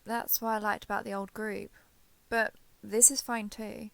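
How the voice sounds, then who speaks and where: A woman acts out a shy villainess who has reluctantly changed sides. Her voice is bright, with noticeable fry at the edges. She talks in a guarded, hesitant way, as in an intimate audio roleplay, recorded dry with no room around her. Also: mid-range voice, level tone, no room sound. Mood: subdued, resigned. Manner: hesitant, halting, reserved, understated. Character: shy, guarded young female villain turned reluctant ally. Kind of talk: roleplay audio drama, intimate one-sided dialogue.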